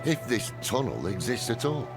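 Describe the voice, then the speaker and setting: An actor playing a gruff, cynical old demon hunter with a blunt, weary manner in a video game. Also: male voice, sing-song, normal speed. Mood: sardonic, stern. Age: older. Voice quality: deep, gravelly. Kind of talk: dramatic video game acting. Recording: dramatic music under the voice.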